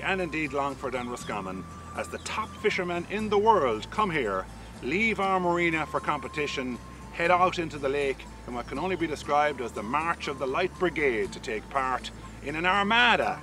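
A middle-aged Irish man presenting a promotional piece to camera outdoors on a riverside promenade, upbeat and promotional. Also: fairly high pitch. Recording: with music behind, mic a bit distant.

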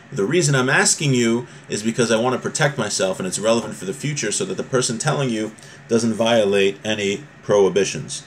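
A man's voice: lowish voice, slight background noise, low-quality recording. A man in his thirties with an American accent, giving an informal religious lesson in an explanatory, conversational manner. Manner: explanatory, conversational, switching between recitation and plain paraphrase. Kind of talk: informal lesson, reading Hebrew text aloud and explaining it. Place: small room at home, indoors.

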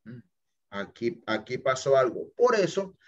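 Slow-talking man teaching over a video call. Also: medium pitch, big pitch swings. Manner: chatty, checks in with "listo?" and "ok?", mixes English phrases. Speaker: English teacher. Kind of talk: screen-share app walkthrough for students. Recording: dull lo-fi video call audio.

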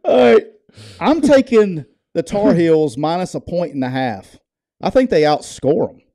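A man's voice: fairly high pitch, expressive, measured pace, dark tone, no echo. A middle-aged man co-hosting a sports podcast, brash and jokey, often stammering through restarts, speaking into a studio mic in a home studio. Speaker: podcast co-host. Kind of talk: casual sports betting chat. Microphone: headphones and studio mic.

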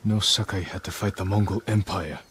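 A man's voice: sits low, a little fast, slight background noise. A man playing a stoic, resolute samurai lord in a video game cutscene, with restrained delivery. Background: soft cinematic game music.

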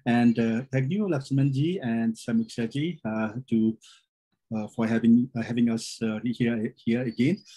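A man's voice: mid-range voice, steady tone, measured pace, dark tone, slightly rough. A man, an education official speaking formally and courteously, with some hesitation, on a webinar video call. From Bhutan. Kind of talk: webinar panel talk.

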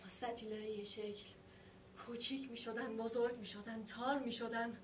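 An actress playing a lonely young woman waiting for her brother, speaking in a theatrical monologue of short, halting phrases. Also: on the high side, fairly even intonation, slight background noise, close to an everyday voice. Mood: hushed, wistful. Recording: roomy sound from a distant mic.

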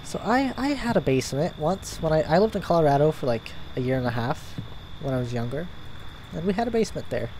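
A young man with a stuffy nose, chatting and reacting out loud to scares as he plays a horror game at home. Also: fairly high pitch, big pitch swings, a little fast, a little hiss or hum, poor audio. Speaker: gaming YouTuber. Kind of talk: horror game let's play commentary. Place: home gaming room, indoors.

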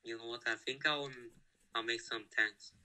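Casual guy gamer, very bright sharp tone, halting and unsure, restarts a lot. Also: mid-range voice, fairly even intonation, clear, resonant tone. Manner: hesitant, halting, many restarts. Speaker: gamer playing with a friend. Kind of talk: casual gaming chat.

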